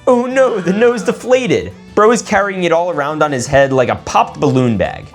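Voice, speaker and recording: A man's voice: fairly high pitch, animated, normal speed, faint music behind. A young man narrating a video in a casual, slangy, jokey and exclamatory way. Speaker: video host. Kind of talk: casual scripted vlog narration.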